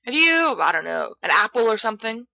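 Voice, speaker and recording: A woman playing a bright-voiced, flippant teenage boy. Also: on the high side, big pitch swings, brisk, creaky, dry recording, full character voice. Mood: teasing, hopeful. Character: boisterous, hungry, teasing teenage schoolboy, blithely flippant.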